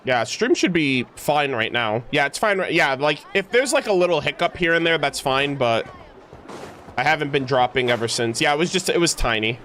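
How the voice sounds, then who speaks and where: A man's voice: a little high, animated, normal speed, sharp tone, a little hiss or hum. A man livestreaming a game playthrough, reacting casually with exclamations and reading dialogue aloud with some stumbles. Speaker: game streamer. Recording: game music behind the voice. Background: game music.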